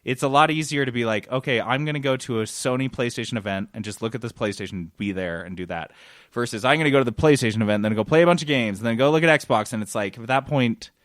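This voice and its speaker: A man's voice: medium pitch, fast talker, no echo, clean studio sound. A man hosting a casual gaming podcast, explaining things and acting out quotes as he goes. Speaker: podcast host, games journalist.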